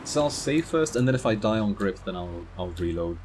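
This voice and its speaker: A man's voice: on the low side, lively intonation, fairly quick, noisy background. A man from the Netherlands giving casual live commentary while streaming a driving game, chatting with viewers and laughing at his own crashes.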